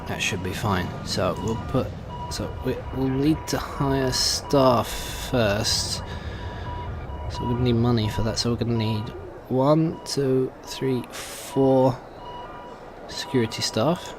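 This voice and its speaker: A man's voice: mid-range voice, takes it slowly, over music. A man giving casual let's play commentary, thinking aloud in a hesitant way as he goes. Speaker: gaming video host.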